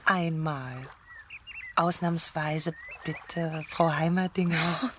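A crisp, inquisitive deaconess in a radio drama. She sounds dry and probing, with clear noise around her voice. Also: low voice, fairly even intonation, drawn-out words, fairly bright tone, exaggerated voice. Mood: brisk, curious. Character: brisk, curious deaconess named Leonie, drily probing.

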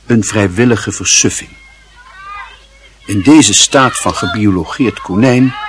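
A man narrating a radio play in a measured, expressive, literary storytelling style. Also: on the low side.

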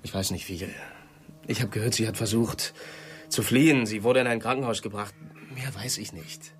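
A man's voice: lowish voice, normal speed, close to an everyday voice. Cold, curt conspirator played by a man. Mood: cold, controlled. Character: cold, secretive man covering up a crime. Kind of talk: radio drama acting.

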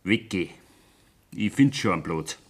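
An actor playing an angry, stubborn young farmer's son with a Bavarian accent in a radio play, blunt and sarcastic. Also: male voice, sits low, lively intonation, unhurried, slightly rough, full character voice. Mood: angry, defiant. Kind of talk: 1970s German radio play acting.

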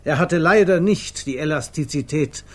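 Narrator, male actor as a wry chronicler of household chaos for 1950s radio comedy. Raised pitch, husky edge, mock-dramatic delivery. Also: normal speed, lightly acted. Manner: theatrical, clipped announcements of times, mock-dramatic emphasis. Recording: 1950s radio play recording.

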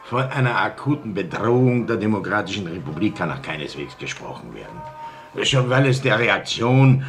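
A man's voice: lowish voice, sing-song, moderate pace, soft background music. An actor playing a pompous, self-satisfied town councillor and club chairman in a radio play, speaking like an orator. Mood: smug, pompous. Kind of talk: German-language radio drama acting.

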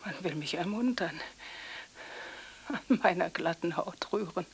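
An actress in an experimental radio drama playing a feeble, disembodied woman in a breathy, raspy whisper and halting fragments. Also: lowish voice, animated, full character voice. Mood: teasing, agitated.

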